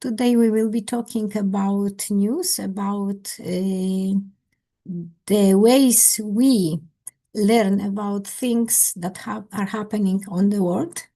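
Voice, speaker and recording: A woman with a Polish accent, leading an online English conversation class as its teacher, with careful, halting non-native phrasing. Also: medium pitch, steady tone, mellow. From Poland. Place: indoors on a video call.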